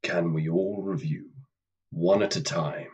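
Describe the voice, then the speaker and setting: A man's voice: low voice, fairly even intonation, darkish tone, husky, muffled recording. A man playing Death, the stern, weary, commanding leader of the apocalyptic horsemen in a radio play. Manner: measured, commanding.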